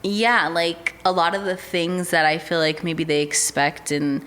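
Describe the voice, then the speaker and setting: Low-voiced young woman, chatty with fillers. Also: unhurried. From United States. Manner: relaxed, chatty, with many fillers like "um" and "like". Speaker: podcast guest, artist manager. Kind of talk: casual interview chat.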